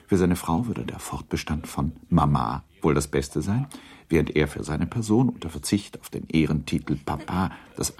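An actor as a measured, gently ironic narrator of a literary radio drama. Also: male voice, very deep voice, breathy edge, full character voice.

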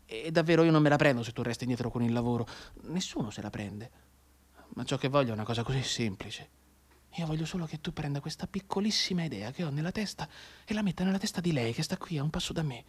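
An actor playing a maimed, bedridden war veteran in a theatrical radio monologue, intense and declamatory, anguished and bitterly sardonic. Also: male voice, fairly high pitch, expressive, slightly rough. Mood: quiet pleading. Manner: intense, declamatory, halting between hushed and cried-out delivery.

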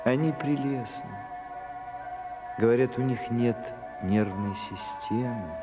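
A man playing a weary, witty, melancholy aesthete in a radio drama, speaking languidly and deliberately with ironic pauses. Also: medium pitch, close to an everyday voice. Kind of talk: slow theatrical radio drama acting. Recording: 1980s radio play with music behind.

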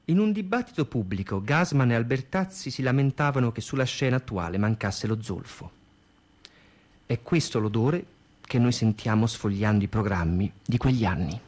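A man delivering scripted radio narration as a narrator looking back, in a formal, measured manner. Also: medium pitch, lively intonation, darkish tone. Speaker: narrator recalling a theatre's history.

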